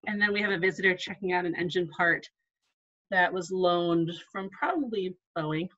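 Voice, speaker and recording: A woman's voice: lowish voice, moderate pace, fairly bright tone, dull audio. A woman archivist giving a live online talk, conversational with frequent ums and asides and no regional accent. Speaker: museum archivist.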